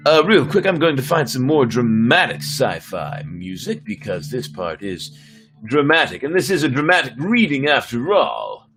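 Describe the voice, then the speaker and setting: A man's voice: mid-range voice, sing-song, normal speed, fairly bright tone. A man hosting a story-time livestream, chatting casually between dramatic readings. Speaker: host and story reader. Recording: music behind the voice.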